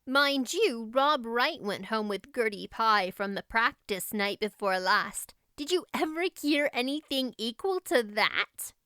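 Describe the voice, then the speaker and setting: A woman's voice: a little high, animated, pushed and bright, clear, resonant tone, no room sound, clean studio sound. A woman voicing a practical, chatty young girl, a loyal friend, in an audiobook. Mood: excited, gossipy. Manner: matter-of-fact, brisk, emphatic. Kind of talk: audiobook character voice.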